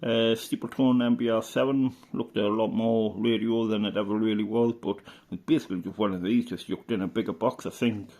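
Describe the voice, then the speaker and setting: A middle-aged man from the UK, a radio hobbyist chatting casually through an old catalogue, rambling and unhurried with lots of fillers and restarts. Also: on the low side, steady tone, darkish tone. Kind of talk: casual reminiscing commentary.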